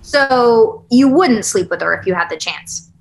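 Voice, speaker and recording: A woman acting an earnest girlfriend in her thirties, in a relationship drama. Her voice is fairly high and swings freely in pitch. She talks naturally and gets defensive, recorded over a video call. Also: animated, measured pace, vocal fry, poor audio. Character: earnest, defensive thirty-year-old girlfriend in a couple's spat. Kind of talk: naturalistic acted table read. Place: small plain room, on video call.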